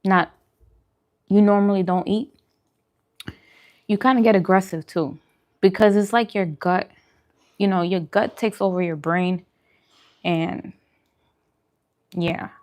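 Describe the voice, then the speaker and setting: A woman's voice: medium pitch, steady tone, takes it slowly, pauses often, a little mellow. Casual woman chatting over food. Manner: informal, musing, conversational. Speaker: vegan food vlogger. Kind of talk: casual mukbang chat while eating.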